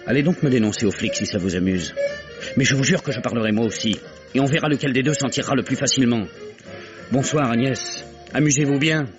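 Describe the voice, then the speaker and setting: A man's voice: mid-range voice, fast, natural voice. An actor playing a stern, defiant man in a vintage French radio drama, speaking in firm, clipped phrases. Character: curt, defiant man resisting a scheming admirer. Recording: dull vintage radio recording with music.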